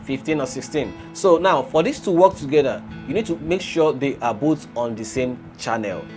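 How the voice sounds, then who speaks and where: A man's voice: medium pitch, normal speed. A man hosting a casual gadget review, explaining and demonstrating as he goes. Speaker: gadget reviewer and video host. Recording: music playing behind the voice.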